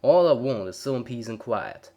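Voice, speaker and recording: A big male farm pony, voiced by a man, talks. He stays placid and pleasant with a quiet menace underneath, as in a dark storybook tale. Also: mid-range voice, expressive, normal speed, muffled recording, lightly acted. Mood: calm menace. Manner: placid, folksy, pleasant while threatening.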